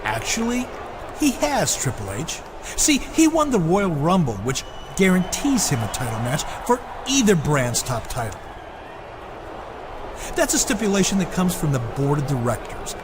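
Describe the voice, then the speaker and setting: An actor playing a bureaucratic wrestling show boss, formal and placating, in a video game cutscene. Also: male voice, a little high, very animated, noisy background. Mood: politely defiant. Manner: measured excuses, formal, placating.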